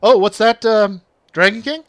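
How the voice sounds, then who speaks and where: French-accented guy streaming, chatting to chat, bit high voice, dry close mic. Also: moderate pace, fairly bright tone, no room sound. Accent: regional French. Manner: chatty, reads chat aloud, uses big words. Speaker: game streamer. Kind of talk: casual live stream chat with viewers.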